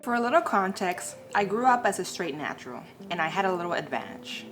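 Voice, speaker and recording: A woman who grew up in the Dominican Republic, giving a calm, scripted explainer on hair care. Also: medium pitch, a little fast, over music.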